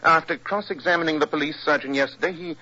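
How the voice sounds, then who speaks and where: British detective, highish bright voice, cool, authoritative, old radio drama. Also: male voice, quick pace. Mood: analytical, pensive. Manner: crisp, commanding, cool. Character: brisk, authoritative English gentleman detective. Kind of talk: 1940s radio acting. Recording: muffled, hissy old radio recording.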